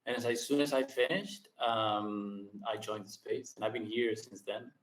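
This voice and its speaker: A man from Argentina, a guest on a podcast, speaking in quick bursts with frequent ums and restarts. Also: lowish voice, fairly even intonation. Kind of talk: casual interview conversation. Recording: roomy echo.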